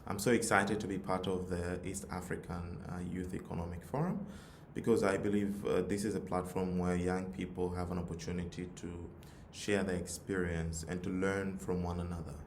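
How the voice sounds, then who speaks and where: A man's voice: low-pitched, flat delivery, moderate pace, hardly any pauses. A man with a Tanzanian accent giving an earnest motivational talk, repeating phrases for emphasis. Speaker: youth nonprofit leader, forum speaker. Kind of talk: motivational talk with personal story. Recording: roomy echo, audible background noise.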